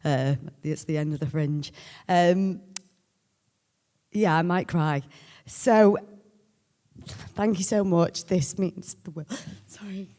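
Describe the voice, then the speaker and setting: A woman with a husky voice, giving a candid, joking, off-the-cuff acceptance speech. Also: lowish voice, slow pace, long pauses, dark tone. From Rhyl, North Wales. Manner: candid, joking, breathless rambling. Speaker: award winner, writer and performer. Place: stage at an awards ceremony in a hall. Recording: echoey hall with long reverb.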